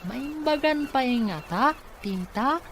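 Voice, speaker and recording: A woman's voice: high-pitched, expressive, slow pace, slight background noise, full character voice. A woman playing a helpful, curious young relative in an Ilocano radio drama.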